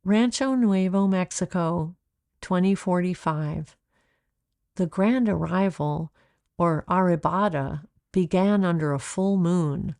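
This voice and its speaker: Lady reading a kids book out loud, slow and expressive, bit of creak in her voice, close dry sound. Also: lowish voice, no echo. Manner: measured, expressive storytelling. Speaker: author reading own children's book aloud. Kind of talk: children's storybook read-aloud narration.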